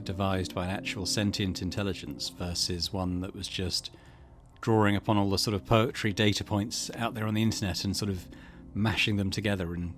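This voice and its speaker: A man giving let's play commentary and reading game text aloud, thinking out loud as he goes. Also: lowish voice. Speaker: gaming channel host. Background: game music.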